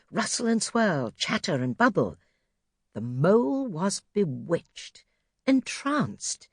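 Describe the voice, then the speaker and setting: A woman's voice: lowish voice, a little mellow, breathy edge, no room sound. A woman narrating a children's audiobook expressively from a script, close to the microphone. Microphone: close studio microphone.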